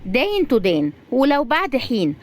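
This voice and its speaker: A woman's voice: sits high, wildly swooping pitch, normal speed, voice pushed up. A woman voicing a feisty twenty-five-year-old woman in an audio story, emotional and insistent as she pleads and scolds. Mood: righteous scolding.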